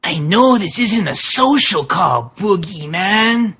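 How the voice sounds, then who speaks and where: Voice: mid-range voice, expressive, slow, fairly bright tone, slightly rough, heavily acted. A performer voicing an emotional Mexican wrestler with a secret monstrous past in a hammy fan-fiction reading. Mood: defensive, wounded.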